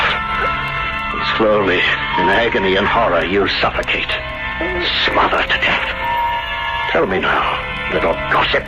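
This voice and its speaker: An actor playing a vengeful, cruel murderer in a theatrical, taunting radio drama style. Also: male voice, deep voice, exaggerated voice. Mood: sadistic frenzy. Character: vengeful, gloating murderer, a cruel jilted husband. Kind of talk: 1970s radio drama acting. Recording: dull vintage radio recording, music behind.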